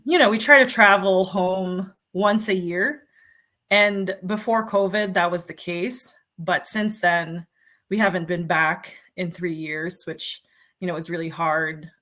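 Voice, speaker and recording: A woman's voice: lowish voice, pushed and bright. A woman in her thirties, born in the Philippines, chatting as an interview guest with many ums and you-knows. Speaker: journalist and guest. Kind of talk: casual interview talk.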